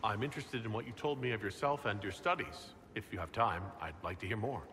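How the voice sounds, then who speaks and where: An actor playing an earnest, inquisitive fantasy game hero who asks direct questions. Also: male voice, fairly low pitch, expressive, brisk, sharp tone, big-room sound, a little hiss or hum. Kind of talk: scripted fantasy game dialogue.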